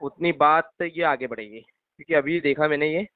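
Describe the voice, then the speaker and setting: A man's voice: fairly high pitch, moderate pace, no echo. A man chatting casually and supportively on the phone, agreeable and often repeating short affirmations. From Madhya Pradesh, India. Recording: dry narrow phone-call line.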